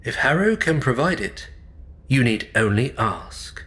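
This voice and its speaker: An actor playing an inscrutable manor servant in an audio drama, formal, deliberate and ominously polite. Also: male voice, medium pitch, big pitch swings, a bit bright, a little hiss or hum.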